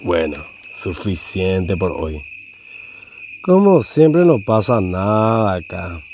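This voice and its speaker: A man's voice: low voice, big pitch swings, slow, darkish tone, heavily acted. An actor playing a male cemetery night watchman in an amateur radio drama. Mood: relaxed, unhurried. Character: Agustín, male cemetery night watchman, nonchalant, then frightened. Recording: noisy track with night insect ambience.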